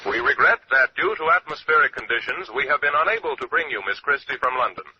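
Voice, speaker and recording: A man's voice: medium pitch, expressive, fast, muffled recording. A man announcing a radio program in a formal, old-time broadcast style.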